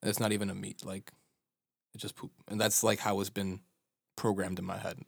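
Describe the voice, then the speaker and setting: A man's voice: on the low side, flat delivery, normal speed, gravelly, husky, dry recording, clean studio sound. A man from Chicago with an American accent, chatting casually and joking, with lots of "like" as filler. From Chicago, USA. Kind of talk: casual chat.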